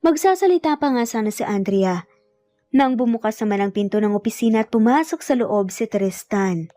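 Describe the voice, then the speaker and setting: Lady narrator reading a love story, soft dark tone, bit high, music underneath. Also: lively intonation, normal speed, no echo. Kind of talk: scripted romance narration. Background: background music.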